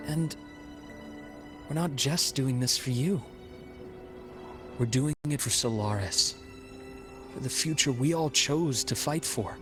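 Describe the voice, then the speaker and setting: An actor playing a calm, steadfast male companion in a video game, speaking in a measured, earnest and reassuring way. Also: mid-range voice, lightly acted. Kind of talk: video game cutscene voice acting. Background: background music.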